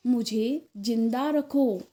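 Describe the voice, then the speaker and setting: A woman voicing a suffering, trapped male poet in a satirical story, pleading haltingly. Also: a little high, warm tone. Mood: pained, insistent. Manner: halting, groaning plea.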